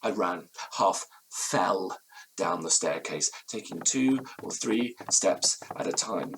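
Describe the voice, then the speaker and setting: Low-voiced haunted gentleman. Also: expressive, slow, noisy background, heavily acted. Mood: shaken, dread. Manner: formal, literary, grave phrasing. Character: haunted, fearful gentleman recounting a ghostly night. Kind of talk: dramatic first-person gothic storytelling.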